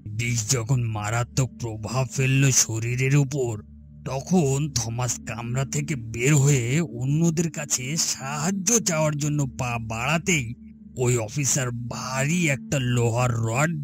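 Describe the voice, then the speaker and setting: A man playing a mysterious, calm gentleman ghost who tells his tale formally in a grave, resonant voice in a horror story. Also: mid-range voice, natural voice. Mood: grim. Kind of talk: Bengali horror audio story acting.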